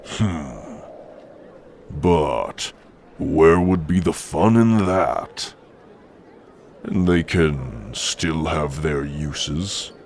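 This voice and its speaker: Very deep, imperious orc chieftain. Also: male voice, lively intonation, vocal fry, a little mellow, slight background noise, exaggerated voice. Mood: imperious, cruel amusement. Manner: imperious, deliberate, disdainful. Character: older male orc chieftain, cruel, imperious, coldly amused. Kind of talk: ASMR roleplay.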